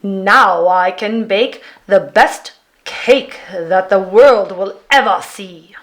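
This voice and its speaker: A woman voicing a male Viking adventurer in a children's storybook, declaiming loudly. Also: medium pitch, takes it slowly. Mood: merry. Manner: loud, declaiming. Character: glum, grumpy male Viking adventurer who bakes. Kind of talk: children's picture book read aloud.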